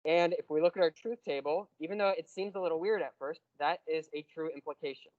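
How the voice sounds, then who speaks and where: A man teaching a university math class, explaining step by step and slowing down on key terms. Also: a little high, darkish tone, no echo, lo-fi sound. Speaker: math lecturer. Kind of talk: classroom lecture.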